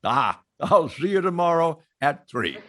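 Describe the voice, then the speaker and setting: An actor playing a genial, oblivious factory boss in old-time radio sitcom style. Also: male voice, fairly high pitch, sing-song, a little slow, a bit bright. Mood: delighted.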